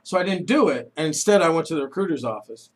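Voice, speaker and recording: Chatty radio host, higher-pitched man. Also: lively intonation, fast. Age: 40s. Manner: chatty, joking, eager questions. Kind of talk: casual radio interview. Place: radio studio. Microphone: studio microphone.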